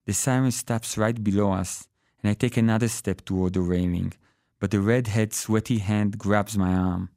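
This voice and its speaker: Guy reading his short story, dark mellow lowish voice, really dry recording. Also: fairly even intonation, normal speed, dry recording. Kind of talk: literary first-person story reading.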